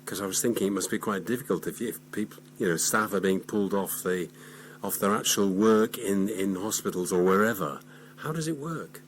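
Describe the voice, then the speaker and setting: Guy on radio asking questions, chatty interview style, lowish voice, bit of hum. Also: brisk.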